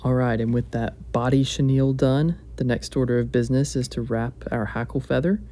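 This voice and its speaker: A man calmly narrating a step-by-step fly-tying tutorial at his bench. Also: mid-range voice, fairly quick. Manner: calm, steady, explanatory.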